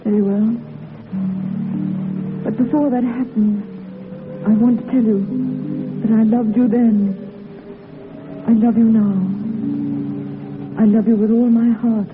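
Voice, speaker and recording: A woman's voice: on the high side, little pitch movement, slow pace, long pauses. A female voice of a devoted, anguished sweetheart in a 1940s radio drama. She is earnest and melodramatic, imploring in her phrasing. The old recording is narrow and tinny, with music underneath. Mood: heartbroken. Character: devoted, anguished woman pleading with her lost love.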